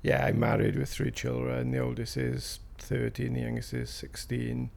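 Gravelly fifty-year-old Welsh man. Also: medium pitch, flat delivery, normal speed, darkish tone. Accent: South Wales Valleys. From South Wales. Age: 50s. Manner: hesitant, rambling with fillers and restarts. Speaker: interviewee. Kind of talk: recorded interview.

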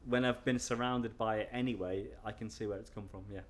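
A man's voice: lowish voice, steady tone, a little fast, slight background noise. A middle-aged man from North Wales with a Scouse accent, talking casually in an interview and rambling with lots of fillers and restarts.

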